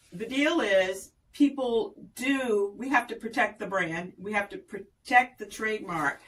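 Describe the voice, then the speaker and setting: A woman's voice: medium pitch, sharp tone, distant mic, rough recording. A woman from Virginia, a tourism official, speaking in a polished, promotional way as a guest on a radio interview.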